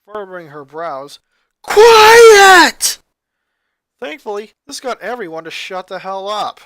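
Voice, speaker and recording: A narrator reading scripted fan fiction aloud in an expressive storytelling style. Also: mid-range voice, normal speed, no echo, studio quality.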